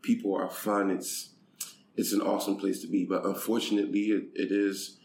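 Deep-voiced man, halting and thoughtful. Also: level tone, darkish tone. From Haiti. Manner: thoughtful, repeats words while finding them. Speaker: guest, charity country director. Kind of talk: conversational interview. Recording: echoey room with faint noise.

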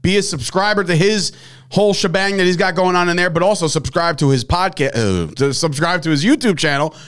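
A man's voice: high voice, fairly quick, fairly bright tone, clear, resonant tone. A man hosting a casual sports betting podcast, rambling and laughing often, with some swearing. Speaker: sports betting show host.

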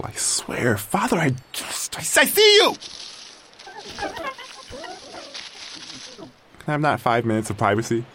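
Exasperated young exile, wildly swinging pitch. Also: male voice, slight background noise. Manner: sardonic, dramatic, easily irritated. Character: exasperated exiled young tribesman keeping an audio journal. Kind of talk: audio drama acting, first-person journal monologue.

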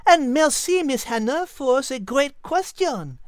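A woman's voice: sits high, big pitch swings, a little slow, dry recording, clean studio sound. A woman voicing a curious, refined female animal with a French accent, polite and precise. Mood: courteous.

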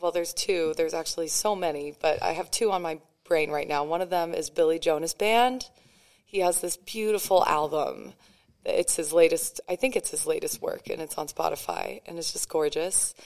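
A woman musician guest chatting eagerly on a podcast, her voice lower than usual today. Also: moderate pace, a little mellow. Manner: enthusiastic, bubbly, breaks into song. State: voice an octave lower than normal. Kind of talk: casual podcast chat.

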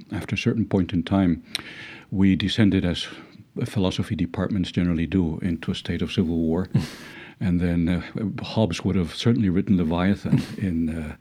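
A man's voice: low-pitched, mellow, husky. A middle-aged man with a Dutch accent, a professor talking reflectively in an interview, hesitant with many fillers and restarts and wry chuckles. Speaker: legal philosophy professor.

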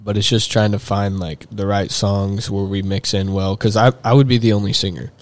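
A young man with a drawl talks loosely and at length, leaning on fillers and restarting his sentences. His voice sits a little low, and it is recorded close and dry with no room sound. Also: little pitch movement, normal speed, no room sound. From Texas. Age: young adult. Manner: rambling, many fillers and restarts. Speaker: guest. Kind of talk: casual chat.

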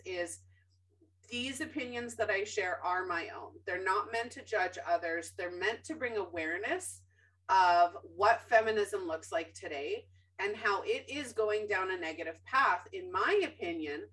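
A woman's voice: mid-range voice, a bit bright, distant mic, poor audio. A woman hosting a podcast in a casual, chatty way, opinionated and emphatic, with lots of ums and self-corrections. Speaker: podcast host.

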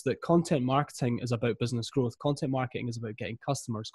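A man's voice: medium pitch, dry recording, studio quality. A man in his thirties from the east coast of Scotland with a Scottish accent, talking with energy as a guest on a podcast interview. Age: 30s. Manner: energetic, upbeat. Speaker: guest, marketing coach.

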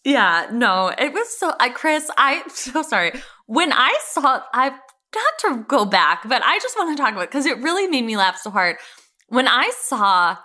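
A woman's voice: high voice, big pitch swings, normal speed, pushed and bright. A woman co-hosting a podcast, bantering casually about television in an emphatic, slangy, gushing way. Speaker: podcast co-host.

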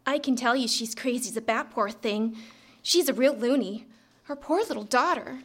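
An actress playing a gossipy, weary 1950s office secretary in a live radio drama on a theater stage. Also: female voice, fairly high pitch, normal speed, fairly bright tone. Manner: chatty, gossipy.

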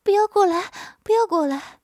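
A woman's voice: very high voice, expressive, normal speed, a little mellow, no echo, clean studio sound, pitched above the performer's own voice. A woman voicing a young wife who pleads and cries out while pretending to be afraid, in a storybook reading. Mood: frightened. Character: playful young wife acting scared.

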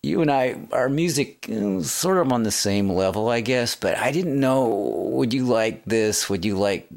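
A man hosting a casual interview, rambling and self-deprecating, with many halting fillers. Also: medium pitch, expressive. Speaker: host and songwriter.

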